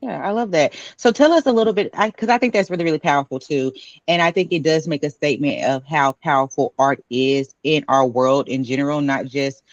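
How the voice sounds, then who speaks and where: A woman's voice: low voice, fairly quick, dry recording. A woman hosting an interview in a conversational style over a remote video call with dull audio. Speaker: interview host.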